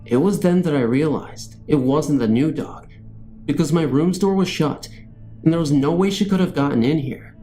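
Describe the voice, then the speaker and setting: A man's voice: fairly high pitch, normal speed, warm tone, faint music behind. A man reading scary stories as a narrator, in a scripted storytelling style.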